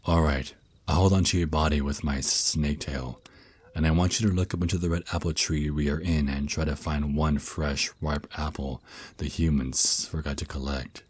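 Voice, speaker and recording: Man playing a very deep, husky vampire naga boyfriend. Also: fairly even intonation, darkish tone, no echo. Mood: tender, encouraging. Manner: slow, soothing, teasing endearments. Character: centuries-old male vampire naga boyfriend, tender mentor. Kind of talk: ASMR roleplay, intimate monologue.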